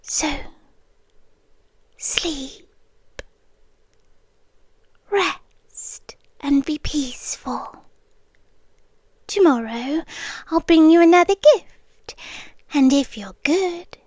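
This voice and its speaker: A woman voicing a sweetly menacing hive queen in an ASMR roleplay, talking softly and drifting in and out of whispers. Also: sits high, dry recording. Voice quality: soft, slow, drifting between whisper and voice. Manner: coaxing, intimate, quietly threatening.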